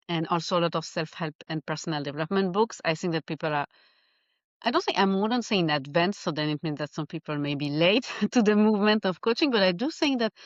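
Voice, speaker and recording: A woman from Geneva with a Swiss French accent, a life coach chatting in an interview and often pausing to find her words. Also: fairly low pitch, no echo, muffled recording. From Geneva, Switzerland. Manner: animated, laughs often, searches for words. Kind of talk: casual interview chat.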